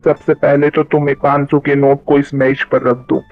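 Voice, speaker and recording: A man plays a stern village headman in a cartoon moral tale. His delivery is authoritative and very even, the sound thin and lo-fi over faint music. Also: medium pitch, normal speed, no echo, natural voice. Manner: authoritative, scolding. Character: stern male village headman judging disputes.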